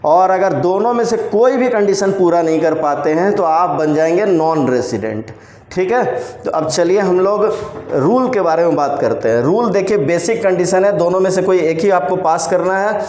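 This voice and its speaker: An Indian man teaching a commerce class, explaining slowly and checking in with his students after almost every point. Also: high voice, expressive, darkish tone. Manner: explains slowly, repeats points, frequent "theek hai" check-ins. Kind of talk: classroom lecture on tax rules. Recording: roomy echoey room sound.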